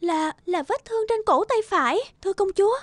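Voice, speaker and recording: A woman voicing a naive, gentle young princess in an animated fairy tale, speaking in an earnest, soft-hearted way. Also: extremely high pitch, a little fast, no room sound. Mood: hesitant, worried. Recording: music under the voice.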